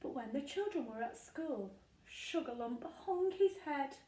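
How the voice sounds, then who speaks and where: Female storyteller for young children, high, slow, sing-song rhyme; echoey, set back from the mic. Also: expressive, warm tone. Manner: sing-song, dramatic pauses. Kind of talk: expressive rhyming picture-book read-aloud. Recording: echoey room, distant from mic.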